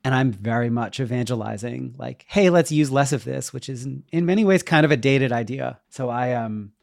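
A man's voice: mid-range voice, moderate pace, gravelly, a little mellow, no echo, studio quality. A middle-aged man talking reflectively in a conversational interview, in a hesitant, thoughtful, self-questioning manner. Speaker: podcast guest, web developer and educator.

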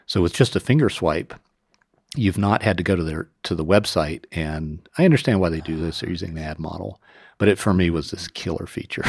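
A man hosting a podcast, chatting casually and telling stories in an informal, expressive way. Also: low-pitched, vocal fry, slightly rough, dry recording, studio quality. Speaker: podcast host interviewing a guest.

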